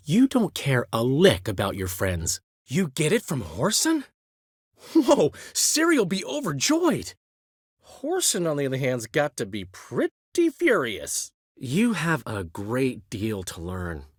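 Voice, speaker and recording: A man's voice: medium pitch, wildly swooping pitch, takes it slowly, slightly rough, no echo, studio quality, exaggerated voice. An actor playing a flamboyant, vain and witty middle-aged male bard in a video game, teasing and theatrical with a showman's flourish. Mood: wry. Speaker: game character. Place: recording studio. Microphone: close studio mic.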